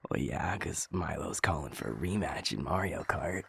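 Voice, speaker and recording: A man acting a young guy in an intimate audio roleplay, with a low, gravelly, husky voice and casual, sweary, naturalistic delivery. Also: fairly bright tone, slight background noise, full character voice. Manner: casual, sweary, halting, slangy banter.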